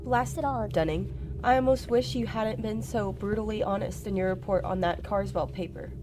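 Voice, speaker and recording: A woman's voice: mid-range voice, normal speed, background noise, close to an everyday voice. Woman acting an anxious, emphatic science secretary. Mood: irritated. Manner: emphatic, old-fashioned British turns of phrase. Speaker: actress. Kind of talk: radio drama acting. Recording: faint music behind a student radio play.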